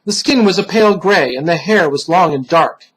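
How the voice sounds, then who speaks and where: A man giving a dramatic, solemn reading of a dark fantasy story, theatrical and deliberate, with pauses for effect and a husky voice. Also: fairly high pitch, expressive, rough recording. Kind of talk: dramatic scripted narration.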